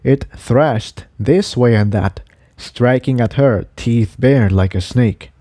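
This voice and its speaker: A man's voice: medium pitch, takes it slowly, warm tone, no echo. A man reading an adventure story aloud as scripted narration. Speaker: audiobook reader of fan fiction.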